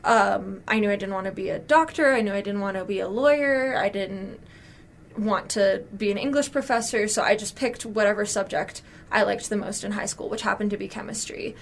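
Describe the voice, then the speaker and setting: A young woman in her twenties answering interview questions, telling her story fluently and relaxed. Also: medium pitch, fairly quick, a little hiss or hum. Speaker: graduate student guest.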